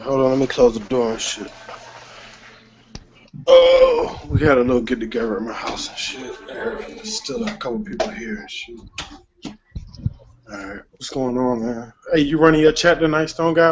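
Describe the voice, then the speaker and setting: A man's voice: medium pitch, huge pitch swings, normal speed. A man chatting loosely from home on an online voice chat, slangy and swearing casually. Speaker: chat participant. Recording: lo-fi voice chat audio with faint noise.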